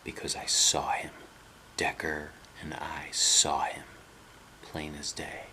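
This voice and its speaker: A man reading aloud, voicing an excitable young boy who believes in a swamp monster and swings between eager shouts and spooky whispers. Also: a bass voice, unhurried, a little hiss or hum, full character voice.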